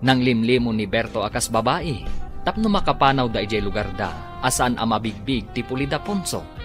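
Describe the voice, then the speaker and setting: Guy announcer for a radio serial, super animated pitch, dramatic scripted read, music loud behind him. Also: normal speed. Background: prominent music.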